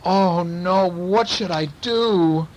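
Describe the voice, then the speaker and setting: A man voicing a kindly, eccentric aunt who loves her cats, exclaiming and gently teasing in a storybook tale. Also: a little high, very animated, moderate pace, slight background noise. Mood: alarmed.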